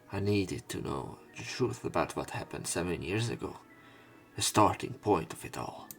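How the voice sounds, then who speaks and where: A man reading visual novel text aloud as dramatic narration for a let's play. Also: lowish voice.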